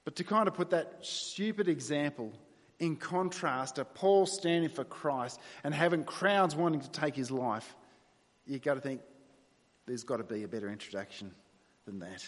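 A man's voice: a little high, moderate pace. A man with an Australian accent preaching a sermon to his congregation in a conversational, self-deprecating way. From New South Wales, Australia. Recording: long reverberant hall sound.

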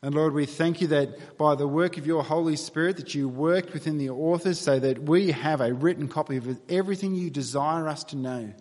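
A man's voice: on the high side, a little mellow. A man with an Australian accent preaching a sermon, praying earnestly and then telling stories in a conversational way with wry asides. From New South Wales, Australia. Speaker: pastor. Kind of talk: sermon with prayer. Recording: long reverb of a church hall.

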